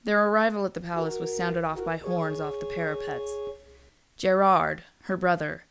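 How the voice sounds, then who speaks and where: A woman's voice: on the low side, steady tone, moderate pace, music in the background. A woman storyteller reading scripted fantasy narration in an audiobook manner.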